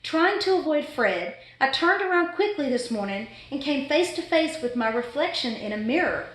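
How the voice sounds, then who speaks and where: A woman's voice: sits high, lively intonation, normal speed, a bit bright, pitched above the performer's own voice. A woman voicing an exasperated, fussy pet goldfish in a dramatic children's storybook read-aloud. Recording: echoey room.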